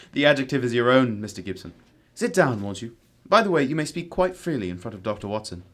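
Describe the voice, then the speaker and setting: A man playing a composed, courteous, analytical gentleman detective with a formal, measured manner in an amateur radio drama. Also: medium pitch, very animated. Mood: courteous, firm.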